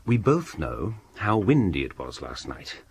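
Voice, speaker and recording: An actor playing a rational, composed scholarly gentleman in a radio drama, speaking politely and formally. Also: deep voice, big pitch swings, unhurried, vocal fry, breathy edge, full character voice. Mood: reasoning.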